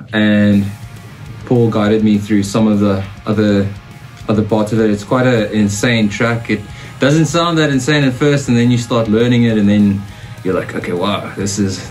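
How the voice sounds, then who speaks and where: A young South African man with a lowish voice chats loosely, full of "uh" and "like". He sits in a small home studio, and some quiet music plays beneath him. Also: low-quality recording. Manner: relaxed, rambling with fillers. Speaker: guitarist. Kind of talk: casual interview.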